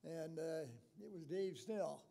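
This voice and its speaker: A man in his eighties with a raspy voice giving an informal acceptance speech in a halting, plain-spoken way. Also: a little high, warm tone. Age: 80s. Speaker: retired coach, award inductee.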